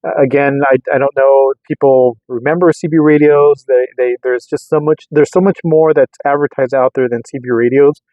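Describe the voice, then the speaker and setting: Flat-voiced man, tinny phone-like sound. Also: mid-range voice, dry recording. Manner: hesitant, halting, repeats words. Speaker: radio hobbyist vlogger. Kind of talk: casual rambling product test commentary.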